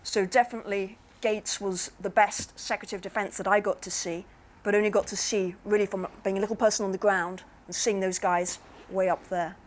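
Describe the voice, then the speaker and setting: A woman at a public talk answers questions from the floor at a middling pitch. She explains in plain, reflective terms and takes her time. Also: little pitch movement, a little fast, a little hiss or hum. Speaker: invited speaker answering audience questions. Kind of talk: measured Q&A answers.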